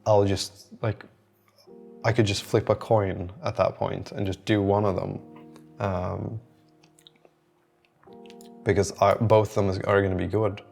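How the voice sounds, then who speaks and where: A man's voice: fairly low pitch, level tone, unhurried, gravelly, pauses often, darkish tone, faint music behind. A man rambling and thinking aloud in an unscripted, casual solo vlog monologue. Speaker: solo app developer vlogger.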